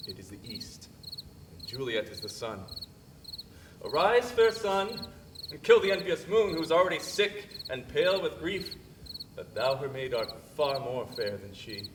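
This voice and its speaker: A man performs a lovestruck young suitor in a Shakespearean monologue. He speaks slowly and theatrically, lingering on his exclamations. The microphone is far from him, and loud insect noise fills the recording. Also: on the high side, animated. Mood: ardent. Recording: distant mic outdoors, loud insect noise.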